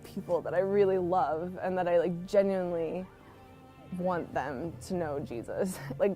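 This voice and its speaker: A woman's voice: on the low side, warm tone. A woman missionary teacher giving an earnest, informal personal testimony in a conversational way. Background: background music.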